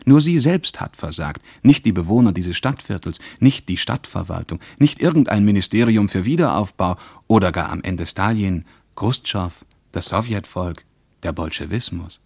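Deep male narrator, very dry sound. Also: expressive, normal speed, gravelly, a little mellow, no room sound. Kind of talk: scripted essayistic narration for a radio play.

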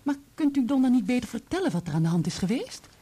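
An actress in a Dutch radio play playing a composed, candid divorced woman who speaks in a measured, probing way. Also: fairly high pitch, very animated, mellow, breathy edge.

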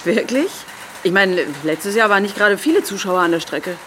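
An actress playing a hesitant, uneasy woman in naturalistic, halting German radio drama dialogue. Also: medium pitch, lively intonation, fairly bright tone, slight background noise, close to an everyday voice. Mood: hesitant, wary.

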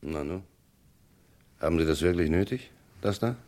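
A man's voice: sits low, animated, normal speed, darkish tone. A man playing a sober, persistent police inspector in a vintage radio crime drama, probing and matter-of-fact. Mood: inquisitive.

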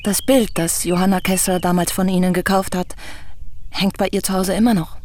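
An actress playing a young woman in a radio drama who calmly and persistently questions an old artist, probing and refusing to let go. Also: on the low side, a little fast, no room sound, lightly acted. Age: young adult. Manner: calm, probing, stubborn questioning.

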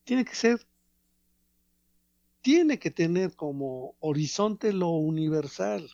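Man, professorial radio commentator, slow deliberate delivery with pauses. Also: fairly high pitch, expressive, creaky, warm tone, dry recording, lo-fi sound. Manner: measured, professorial, pauses between phrases. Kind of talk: reflective radio talk on art.

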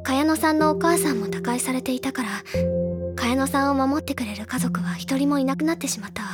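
A woman voicing a bubbly, earnest teenage schoolgirl who tells stories in an expressive, chatty audio drama style. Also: high-pitched, a little fast, lightly acted. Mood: earnest storytelling. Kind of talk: Japanese audio drama acting. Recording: background music under the voice.

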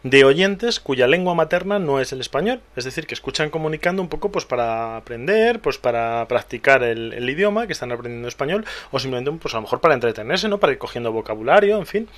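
Man, podcast co-host, relaxed chatty delivery, lots of fillers and restarts, mid pitch. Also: fast talker, hardly any pauses. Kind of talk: casual chat, reading listener mail.

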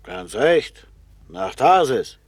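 Slow-spoken, worldly old-time seafarer. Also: male voice, mid-range voice, very animated, husky, no echo, full character voice. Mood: proud, boastful. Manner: slow, musing, storytelling, with swings from boastful to confiding. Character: worldly, seasoned seafarer, proud and knowing. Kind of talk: 1960s German radio play acting.

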